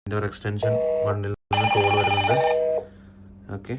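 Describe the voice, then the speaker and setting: Low, flat-toned Malayali man explaining steps. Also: moderate pace, very noisy. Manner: step-by-step, matter-of-fact. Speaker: tutorial presenter, installer. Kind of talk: hands-on technical walkthrough, unscripted.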